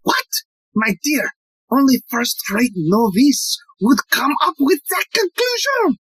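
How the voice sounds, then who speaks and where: A woman playing a pompous, self-important police inspector in a theatrical audio play, lecturing with dramatic pauses. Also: high-pitched, sing-song, husky, no echo, heavily acted. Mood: exasperated.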